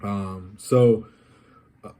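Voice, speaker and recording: A young man from Colombia speaks his mind in a personal vlog. His voice sits a little low, and he thinks aloud, pausing often on ums and uhs as he works through his thoughts. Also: fairly even intonation, warm tone. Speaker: vlogger. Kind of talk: personal reflective monologue.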